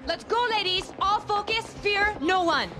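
A fiery, fearless footballer in a game cutscene. Her voice is high, with music clearly behind it. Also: unhurried. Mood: fired up. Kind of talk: cinematic game acting.

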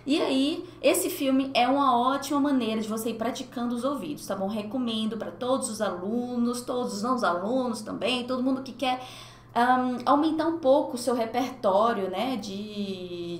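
Expressive woman teacher on a headset mic. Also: a little high, moderate pace, reverberant, slight background noise. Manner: animated, gestures a lot, mixes two languages. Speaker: French teacher. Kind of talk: livestream lesson, casual and expressive. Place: small indoor home room.

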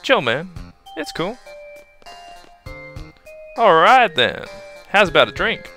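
Man doing goofy young game soldier, flustered, stammering, wildly swooping, bright tone. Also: big pitch swings, takes it slowly, soft background music, heavily acted. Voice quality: flustered, stammering, jumps in pitch. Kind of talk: fan dub of game cutscenes.

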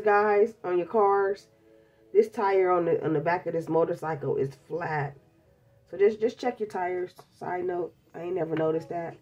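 A woman's voice: on the low side, a little mellow, highs cut off. A woman with a stuffed-up cold, chatting bluntly and casually through a tarot reading, calling her viewers babies. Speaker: tarot reader. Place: indoors at a table covered in tarot decks.

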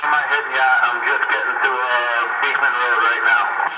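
A man's voice: medium pitch, level tone, moderate pace, far from the mic. A man chatting casually as a CB radio operator, heard over the radio. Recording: muffled, very noisy, echoey CB radio audio.

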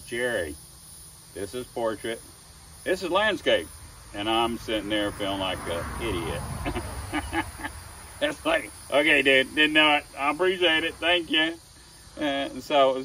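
Older man, sixties, low-voiced wood carver chatting outdoors, slightly distant from the phone mic, faint outdoor hum. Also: fairly bright tone. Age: 60s. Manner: folksy, chuckling, self-deprecating storyteller. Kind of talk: casual talk to camera. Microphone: phone camera at a distance.

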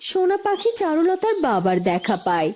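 A woman's voice: very high voice, very animated, normal speed, slight background noise. A woman giving scripted narration for a fairy-tale cartoon. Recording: loud music behind.

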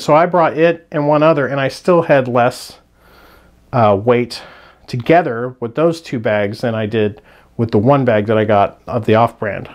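Guy rambling thru a sleeping bag review on his hiking channel, lots of um and restarts, mid pitch. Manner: rambling with frequent restarts and fillers. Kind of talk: casual gear review.

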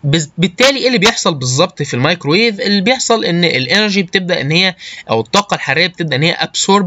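Egyptian male lecturer, slightly high voice, halting informal explanations, dry lo-fi recording. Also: pushed and bright, no echo. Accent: Egyptian Arabic. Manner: halting, often checks understanding with short tag questions. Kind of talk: informal slide lecture with technical English terms.

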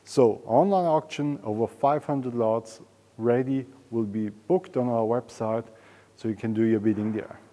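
A man presents to camera in an enthusiastic, informal way. His voice is mellow and relaxed, at an average pitch. It rings with the long reverb of a large indoor hall. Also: measured pace. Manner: enthusiastic, informal, non-native English phrasing. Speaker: auction house presenter. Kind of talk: semi-scripted promotional explainer to camera. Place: large indoor auction hall and storage room.

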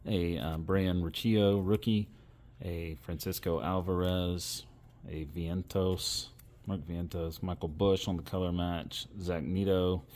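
Male hobby host, low register, conversational commentary, faint noise in the background. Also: steady tone, slow pace, a little mellow. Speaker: host of a card-opening channel. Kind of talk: casual commentary while opening trading cards.

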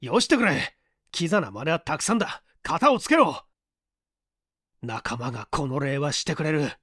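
A man voicing an injured, defiant gangster in a story reading, rough and desperate. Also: fairly high pitch, expressive, normal speed, a bit bright. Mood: bitter, defiant. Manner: rough, anguished outbursts.

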